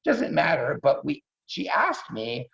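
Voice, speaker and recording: A man's voice: on the high side, wildly swooping pitch, slow pace, creaky, sharp tone. A middle-aged man casually introducing performers as host of a staged reading over a video call, effusive and repeating himself for emphasis. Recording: dull video call audio.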